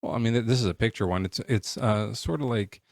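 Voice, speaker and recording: Low-voiced man rambling on a live stream. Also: gravelly, no echo, studio quality. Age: 40s. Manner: rambling, halting, many fillers and restarts. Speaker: livestream host. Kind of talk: casual live-stream chat.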